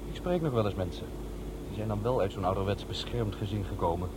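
An actor playing a blunt, sceptical young adult son in a radio drama. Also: male voice, fairly low pitch, fast talker, lightly acted. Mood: cynical. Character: cynical young adult son, blunt, challenging his father. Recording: clear vehicle noise behind voice. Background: car driving ambience.

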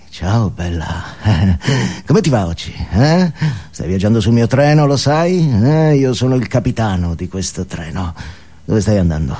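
An actor playing a deranged, filthy vagrant in a theatrical comic monologue, leering, rambling and taunting with gleeful menace. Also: male voice, medium pitch, gravelly, a little mellow. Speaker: stage actor.

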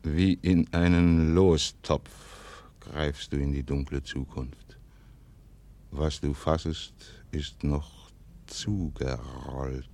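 Very deep, slow, stern aristocratic commander. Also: male voice, steady tone, dark tone, exaggerated voice. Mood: brooding, grave. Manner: grave, measured, declamatory. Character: stern, calculating, commanding aristocratic father and military governor. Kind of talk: 1940s German radio play acting.

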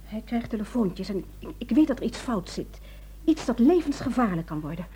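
An actress in a Dutch radio drama playing a worried, determined wife who speaks in an earnest, pleading way. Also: female voice, a little high, sing-song, moderate pace, mellow, slight background noise. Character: worried, determined wife seeking help for her secretive husband.

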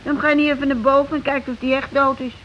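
A nervous, naive working-class wife, played by an actress, high-voiced and hesitant. Her delivery stammers a little and goes along with others, in radio drama style. The old recording sounds dull and slightly noisy. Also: level tone, fast, lightly acted. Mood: uneasy. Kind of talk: Dutch radio play acting.

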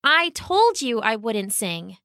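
A woman voicing a shy, polite female tortoise for a children's story, gentle but firm. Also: a little high, big pitch swings, takes it slowly, no room sound, clean studio sound, heavily acted. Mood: firm, unbudging.

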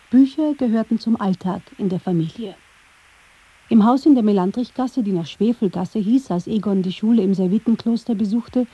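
A woman reading scripted narration for a radio feature in a measured, literary way. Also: medium pitch, dark tone, slightly rough, lo-fi sound. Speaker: documentary narrator.

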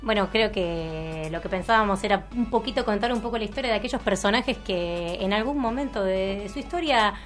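A woman's voice: mid-range voice, fairly quick, few pauses. A woman with an Argentine Rioplatense accent, co-hosting in a casual radio panel chat. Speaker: radio co-host. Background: music.

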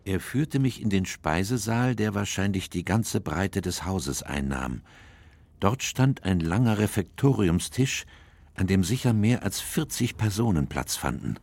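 An actor playing a sober gentleman doctor in a radio detective drama, with a grave, measured way of speaking. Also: low voice, lively intonation, full character voice. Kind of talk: radio drama narration and dialogue.

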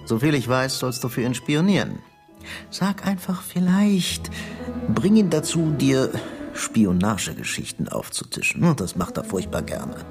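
An actor playing a scheming Soviet secret police chief in a German radio drama, coaxing and menacing in an insinuating way. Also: male voice, medium pitch, very animated, measured pace, over music. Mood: conspiratorial.

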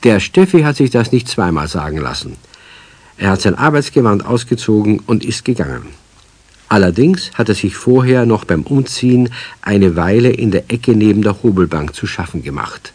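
A man with a husky voice, narrating a scripted children's story. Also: on the low side, animated, normal speed, darkish tone.

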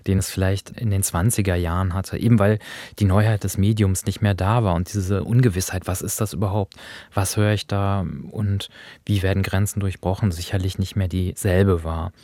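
A man's voice: deep voice, steady tone, moderate pace, warm tone, no room sound. A man hosting a radio programme, talking in a conversational, analytical way. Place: dry studio.

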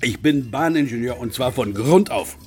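A man's voice: medium pitch, animated, normal speed, soft background music, close to an everyday voice. An actor playing an effusive, gallant male railway engineer in a satirical radio play, gushing in florid, formally polite phrases. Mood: earnest reminiscing.

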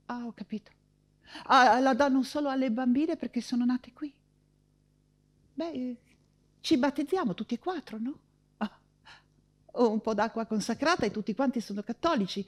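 An actress playing an anguished woman writer and mother fleeing persecution in a wartime stage monologue, theatrical and halting, with anxious repetitions. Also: high-pitched. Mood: weary, resigned.

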